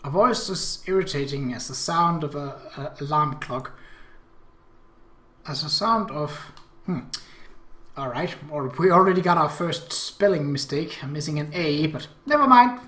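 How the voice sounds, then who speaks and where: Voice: medium pitch, unhurried, pushed and bright, echoey room. A game host giving casual, wry commentary and reading a visual novel story aloud, with hesitant asides. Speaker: let's play host.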